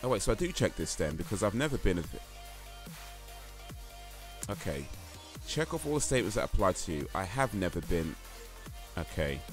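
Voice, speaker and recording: A man's voice: low voice, very animated, moderate pace, pauses often, music in the background. A man hosting a livestream, chatting casually with viewers and reading quiz statements aloud, rambling and hesitant with lots of fillers and restarts. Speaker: livestream host.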